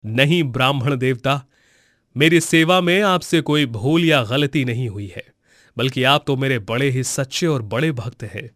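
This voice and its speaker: A man's voice: mid-range voice, fairly bright tone, dry recording, studio quality, natural voice. A man playing a serene, gracious god. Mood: serene, reassuring. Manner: formal, measured, gracious.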